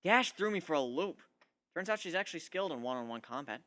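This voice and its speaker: A man's voice: a little high, expressive, fast talker, fairly bright tone, no echo. A man voicing a cocky, scheming male griffin in a dramatic story reading, talking in a boastful, glib way. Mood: matter-of-fact. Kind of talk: dramatic reading of fan fiction.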